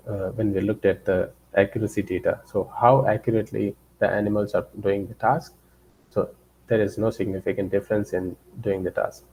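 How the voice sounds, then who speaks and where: Husky, low-voiced man lecturing haltingly. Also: mellow, rough recording. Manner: halting, repeats and rephrases phrases. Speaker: researcher giving a guest lecture. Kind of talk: scientific presentation, explaining study results.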